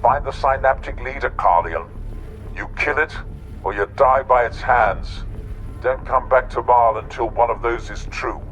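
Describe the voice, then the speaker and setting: A man's voice: medium pitch, a little slow, a bit bright, faint music behind, close to an everyday voice, poor audio. A man playing a stern superior officer in a game, commanding, terse and severe. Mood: grim, severe. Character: stern superior officer giving grim ultimatums, male. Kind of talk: dramatic scripted game acting.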